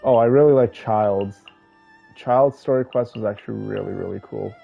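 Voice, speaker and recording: A man's voice: lowish voice, moderate pace, vocal fry, narrow, tinny audio. A man streaming a game, chatting casually and rambling as he plays. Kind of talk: casual gameplay commentary. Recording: voice over game music.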